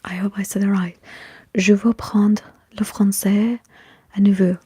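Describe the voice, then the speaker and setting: A woman whispering breathily in a halting ASMR livestream chat with her viewers. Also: mid-range voice, little pitch movement, mellow, slightly rough. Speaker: ASMR streamer chatting with live viewers.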